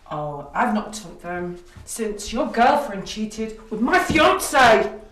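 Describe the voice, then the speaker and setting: A woman acting an unhinged, jealous kidnapper in a drama, menacing and swinging between hushed gloating and screaming. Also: mid-range voice, lively intonation, slow pace. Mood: furious. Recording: echoey room, distant mic, background noise.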